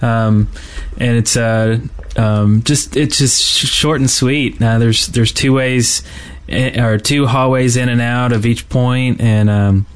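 A man's voice: mid-range voice, fairly even intonation, slow, few pauses, smooth. Hesitant man chatting casually about games. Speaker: podcast co-host. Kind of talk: casual gaming podcast chat.

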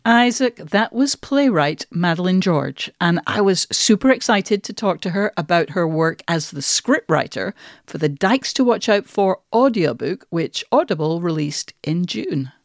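A woman's voice: fairly low pitch, lively intonation, unhurried, dry recording. Woman podcast host in a dry studio. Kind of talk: conversational podcast talk.

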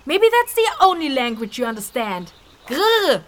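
Fiery young woman, high voice, animated, scolding, sarcastic, fantasy audio drama. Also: measured pace. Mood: angry, sarcastic.

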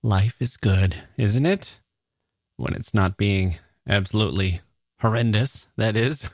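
A man's voice: on the low side, dry recording. Wry male podcast host, dry studio sound. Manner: wry, theatrical, mock-grand with dramatic pauses. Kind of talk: chatty podcast monologue.

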